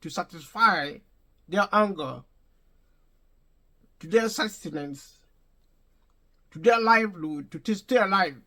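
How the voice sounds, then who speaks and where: A man's voice: high voice, sharp tone. A man vlogging an unscripted opinion monologue to his viewers, emphatic and prone to restating and rephrasing his points. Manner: emphatic, addresses viewers directly.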